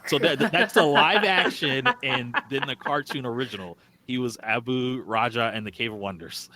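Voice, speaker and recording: A man's voice: mid-range voice, normal speed, bright, forceful tone. Casual male podcast co-host.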